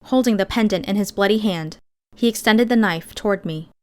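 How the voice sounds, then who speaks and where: A woman's voice: medium pitch, fairly quick. A woman reading scripted first-person fiction as an audiobook narrator.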